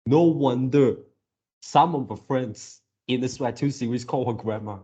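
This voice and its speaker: A man giving casual fan commentary as a video creator, halting as he repeats words and searches for phrasing. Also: mid-range voice, warm tone, husky. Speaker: video creator reacting to a game series.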